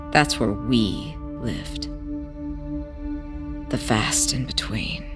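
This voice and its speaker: A woman's voice: extremely low pitch, heavily acted. Audio drama role: tired ex-smuggler played by a woman, hesitant and reflective storyteller with music underneath. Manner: hesitant, reflective, wistful, with pauses. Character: weary, idealistic former smuggler recounting their past. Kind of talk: audio drama acting, halting first-person testimony.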